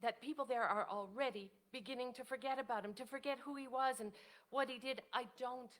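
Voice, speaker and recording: An actress playing a modest, wistful, devoted widow in a staged reading of a period drama, with genteel, articulate phrasing. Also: female voice, high voice, a little fast, fairly bright tone. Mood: wistful, earnest. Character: elderly devoted widow writer, modest, wistful, self-doubting.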